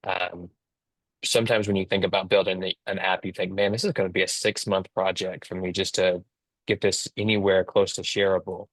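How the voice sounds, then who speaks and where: Very deep, even-toned man. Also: fairly quick, no echo, poor audio. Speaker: app builder, co-presenter. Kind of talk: casual explanation on a video call. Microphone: video call.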